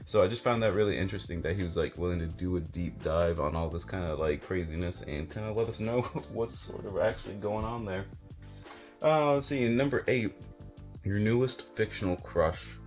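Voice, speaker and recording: American male book reviewer, low-pitched, casual and meandering delivery, faint music underneath. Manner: rambling, hedging, thinking aloud. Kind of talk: casual chat to camera about books.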